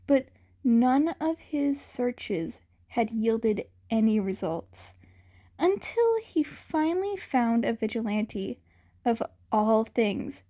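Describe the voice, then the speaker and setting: High-pitched woman narrating a story. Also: warm tone. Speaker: fan fiction narrator.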